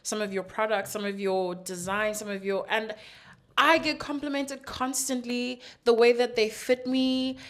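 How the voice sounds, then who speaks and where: A woman's voice: a little high, flat delivery, measured pace. A woman from South Africa hosting a casual podcast interview, effusive in her praise and questions. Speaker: podcast host.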